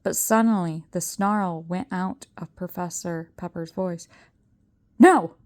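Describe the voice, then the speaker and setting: An older woman narrates a children's story aloud. Her voice sits a little low and she takes her time. She reads dramatically, building suspense. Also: steady tone, unhurried, darkish tone. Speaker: storybook reader.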